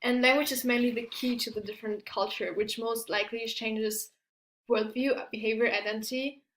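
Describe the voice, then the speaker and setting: A woman's voice: a little high, fairly even intonation, normal speed, a bit bright, a little hiss or hum. Hesitant young woman in an echoey room. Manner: hesitant, with fillers and self-corrections. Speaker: student presenter. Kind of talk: class presentation, partly read.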